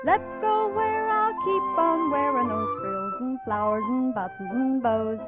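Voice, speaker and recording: A woman's voice: sits high, a little slow, over loud music. A woman singing a novelty swing song in a 1940s style, backed by musical accompaniment. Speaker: singer. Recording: muffled vintage recording with loud band.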